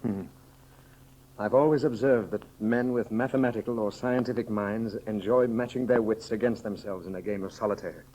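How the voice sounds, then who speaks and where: A male actor plays a formal, courtly Atlantean in a 1930s radio adventure serial. The voice sits fairly low. His delivery is stately and measured, with cool resolve. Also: fairly even intonation, warm tone, close to an everyday voice, poor audio. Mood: grave, measured.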